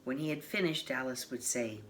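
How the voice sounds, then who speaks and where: A woman of mature years reading a children's picture book aloud in a measured, expressive way. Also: very deep voice, rough recording. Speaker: storybook reader. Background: classical piano music.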